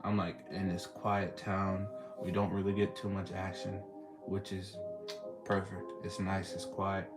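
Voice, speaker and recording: A man's voice: low voice, monotone, unhurried. A young man with a Texan accent, hosting a casual live stream and chatting with callers in a laid-back, slangy way. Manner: laid-back, slangy, reading and answering chat. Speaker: streamer host. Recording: loud music playing behind.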